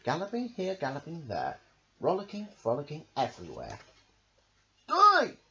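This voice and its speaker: Man, storybook read-aloud, highly varied intonation, rhythmic sing-song, lo-fi recording, faint noise. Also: huge pitch swings, a little slow. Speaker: amateur storybook reader.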